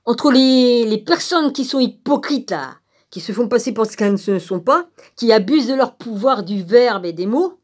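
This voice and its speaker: A woman's voice: fairly high pitch, lively intonation, normal speed, a little mellow. A woman on a rambling informal rant, blunt and sarcastic, with crude colloquial phrasing and frequent rhetorical tags. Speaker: vlogger.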